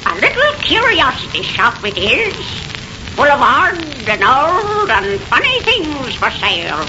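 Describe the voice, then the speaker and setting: Ancient, high-pitched cackling witch. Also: heavily acted. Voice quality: cackling, aged. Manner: slow, folksy, relishing the gloom. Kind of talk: 1930s radio horror acting. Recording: muffled 1930s radio, music behind.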